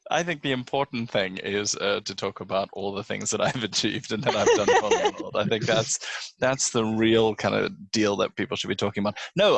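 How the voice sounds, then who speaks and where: A man's voice: on the low side, gravelly, fairly bright tone, no room sound. A man chatting casually and jokingly as a podcast host. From South Africa. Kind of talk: casual chat. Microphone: home microphone on a video call.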